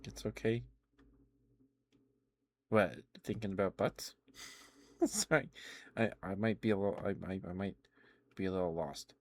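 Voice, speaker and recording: A man hosting an ASMR livestream, chatting casually and playfully with viewers in a halting way. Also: low-pitched, noisy background. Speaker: livestream host.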